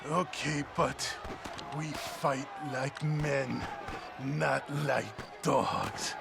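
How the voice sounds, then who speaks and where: An actor playing a menacing, proud Mexican tough in a western video game, gravelly, with a Mexican accent. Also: male voice, mid-range voice, fairly even intonation, extremely slow, a crowd in the background, noisy background, exaggerated voice. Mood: threatening. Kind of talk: video game cutscene acting.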